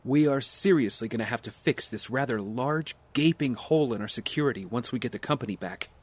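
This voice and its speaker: A man's voice: mid-range voice, fairly quick, close to an everyday voice. A man acting in an audio drama as an earnest, skeptical older brother who leads a team. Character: serious, cautious big brother leading a team. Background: music.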